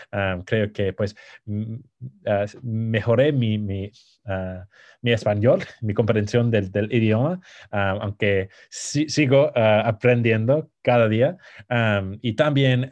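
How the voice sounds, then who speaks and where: A young American man co-hosting a podcast, speaking Spanish as a learned language. His voice sits a little low. He hesitates often, restarting words and filling gaps with um and uh. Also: a little mellow, muffled recording. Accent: American English. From United States. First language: English. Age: 20s. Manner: hesitant, many fillers and restarts. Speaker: podcast co-host. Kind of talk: casual podcast introduction.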